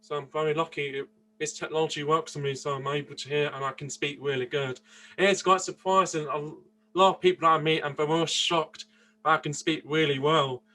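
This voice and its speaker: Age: 20s. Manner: earnest, halting, flat. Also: male voice, a little high. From Austria. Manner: earnest, halting, frequent restarts and searching for words. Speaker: interview guest. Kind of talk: casual interview answers. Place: indoors, seated interview.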